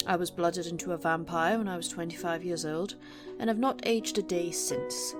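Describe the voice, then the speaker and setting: A woman playing an imperious, centuries-old but young-looking female vampire, speaking in a formal, measured, haughty way in an audio roleplay. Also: mid-range voice, close to an everyday voice. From England. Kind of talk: audio roleplay monologue to the listener. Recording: music under the voice. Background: plucked guitar music.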